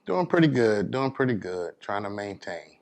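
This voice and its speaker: A middle-aged American man with a fairly low voice talks casually in an interview. He is laid-back and plain-spoken, like a DJ recounting his working life. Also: big pitch swings, normal speed, vocal fry, darkish tone. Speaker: DJ, interview guest.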